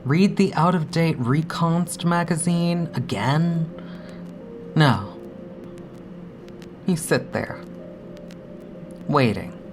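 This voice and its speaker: A woman acting a grieving, haunted daughter in an audio drama monologue, speaking intimately and haltingly with hushed, breathy dips. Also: sits low, music in the background. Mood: anxious, waiting. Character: grieving adult daughter, anxious, haunted, longing for her dead father.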